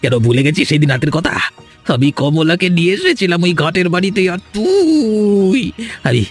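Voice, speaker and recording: Cartoon casting: male actor as agitated young man, high voice, accusing, music underneath. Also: sing-song, moderate pace, slightly rough, exaggerated voice. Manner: accusatory, heated. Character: accusing, agitated young village lover, outraged. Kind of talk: dramatic cartoon voice acting.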